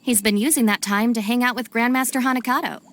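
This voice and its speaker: A woman's voice: on the high side, steady tone, fast talker, fairly bright tone, slight background noise, close to an everyday voice. A woman playing a practical young gang deputy in a video game, matter-of-fact and sensible. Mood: patient, chiding.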